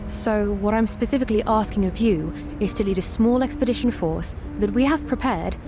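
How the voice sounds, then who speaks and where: A composed queen, played by a woman in a tabletop roleplay. She speaks formally and with measured authority, keeping her guard up. Music plays clearly behind her. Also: medium pitch, natural voice. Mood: guarded, resolute. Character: composed, guarded, commanding young queen wary of rivals.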